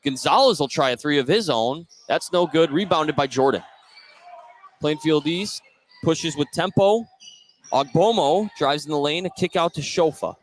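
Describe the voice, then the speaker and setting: A man's voice: on the high side, expressive, normal speed, slight background noise. A man doing live basketball radio play-by-play, narrating each play in short phrases. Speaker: play-by-play commentator.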